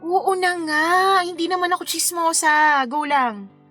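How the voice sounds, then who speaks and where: A woman playing a frank, playful young wedding planner in radio drama dialogue, teasing and animated. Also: very high-pitched, measured pace, faint music behind, exaggerated voice. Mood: frank, reassuring.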